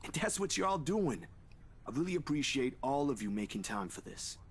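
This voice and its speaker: An actor playing a calm, sensible young man in a visual novel game, gentle and easygoing. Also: medium pitch, moderate pace, slightly rough. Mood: modest, grateful.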